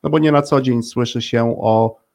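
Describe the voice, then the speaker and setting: A man's voice: mid-range voice, moderate pace, darkish tone. A man hosting an interview in a casual, conversational way, echoing his guests' phrases. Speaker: interview host.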